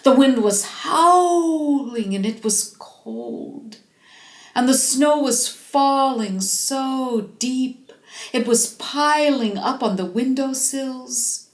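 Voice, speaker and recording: Slow, dramatic woman storyteller. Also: sits high. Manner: dramatic, drawn-out emphasis, animated. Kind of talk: expressive fairy-tale storytelling for children. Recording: roomy, echoing space.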